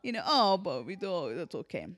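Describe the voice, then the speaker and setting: An American woman hosting a casual podcast, telling stories in an animated, playful way. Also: lowish voice, normal speed, a little hiss or hum. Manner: animated, playful, laughs often. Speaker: podcast host. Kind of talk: casual chat, storytelling among sisters. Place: at home.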